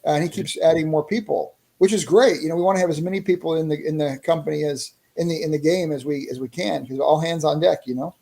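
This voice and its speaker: Man, slightly high pitch, dark relaxed tone, podcast guest, casual chat, enthusiastic explainer. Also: fast, creaky, hardly any pauses. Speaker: interview guest, indie comic creator promoting a fighting game.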